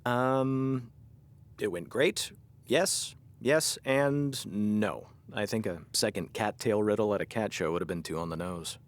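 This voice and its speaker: A man playing a gentle, earnest middle-aged cat lover in a comedy audio drama, speaking tenderly and a little haltingly. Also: lowish voice, lightly acted. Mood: awkward.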